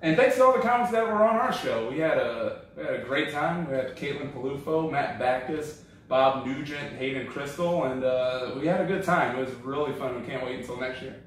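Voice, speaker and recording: A relaxed, chatty man hosts a casual beer tasting and fills his talk with ums and uhs. His voice sits at an ordinary pitch. It comes from some distance off the mic, in a very echoey room with a faint hum behind it. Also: a little fast. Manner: relaxed, chatty, many fillers. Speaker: comedy show host. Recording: roomy echo, from a distance.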